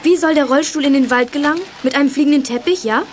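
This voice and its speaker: A young actress playing a feisty, sharp-tongued schoolgirl detective in a radio play, outspoken and sarcastic. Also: high-pitched, fast talker, slight background noise, close to an everyday voice. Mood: indignant, sarcastic. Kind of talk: youth radio play acting.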